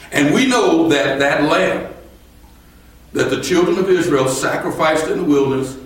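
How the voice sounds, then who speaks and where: A man preaching a sermon in a temple, his voice a little strained, speaking slowly and deliberately and rising to emphatic points. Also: fairly high pitch. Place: temple sanctuary. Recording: echoey hall from far off.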